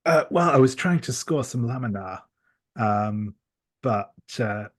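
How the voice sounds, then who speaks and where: A man playing a tabletop roleplaying game, speaking in character in a halting, hesitant, conversational way. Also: medium pitch, animated, no echo, clean studio sound.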